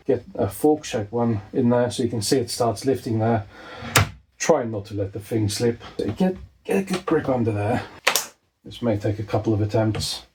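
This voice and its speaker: A man with a middling pitch talks through a hands-on job in an informal, dryly humorous way. He sounds like a tutorial host working at his desk. There is faint background noise, with clicks from handling tools. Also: dark tone. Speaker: host of a repair video. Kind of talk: casual hands-on tutorial commentary while working. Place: indoors at a desk.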